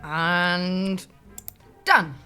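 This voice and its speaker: A woman's voice: lowish voice, fairly even intonation, very slow, bright, forceful tone, clean tone, soft background music, heavily acted. A woman playing a lazy, shameless receptionist in an audio sitcom, with a flippant, dry manner.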